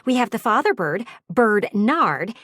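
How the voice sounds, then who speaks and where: A woman's voice: fairly high pitch, sing-song, a little slow, fairly bright tone, dry recording, clean studio sound, heavily acted. A woman voicing a gossipy, vain and dramatic talking house window for a video game, with a nosy, fretful, teasing manner. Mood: scandalized, dramatic. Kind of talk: video game character voice acting.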